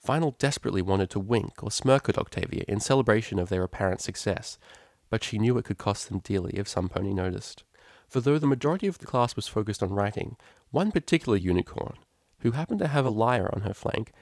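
A man with a fairly low voice narrates a written story. The recording is dry, with little room sound. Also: vocal fry, darkish tone, no room sound. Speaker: audiobook narrator.

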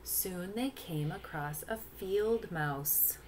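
Woman doing a kids storytime, slowish, kinda low voice, bit of background hiss. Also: a little mellow. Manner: explains words and rhymes to listeners. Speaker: picture-book reader. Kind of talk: read-aloud storytelling for young children. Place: small indoor room at home.

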